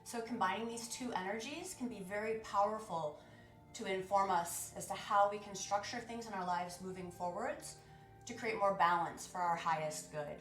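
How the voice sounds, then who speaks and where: A woman speaks as the host of a guided meditation and sound bath. Her voice sits at a middle pitch with measured, instructive phrasing. There is a clear roomy echo on the recording and music plays softly underneath. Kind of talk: guided meditation, spiritual talk to listeners.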